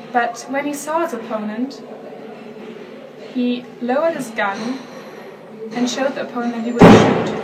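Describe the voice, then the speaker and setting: A young woman speaks to camera at a history event, earnestly and deliberately telling a family war story. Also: on the high side. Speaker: event participant. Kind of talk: testimonial to camera. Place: video booth at a youth history event. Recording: distant mic, background noise.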